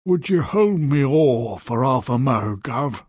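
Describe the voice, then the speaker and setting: A man's voice: medium pitch, lively intonation, slow pace, a little mellow, slightly rough, dry recording, studio quality. An actor voicing a weary, cheeky working-class ferryman with a Cockney English accent in a fairy tale game. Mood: cheeky, gleeful.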